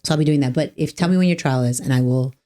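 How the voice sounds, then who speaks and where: Low-voiced chatty woman host. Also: rapid-fire, warm tone. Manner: chatty, rambling, lots of "like" and restarts. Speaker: podcast host and trial attorney. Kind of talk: casual podcast conversation.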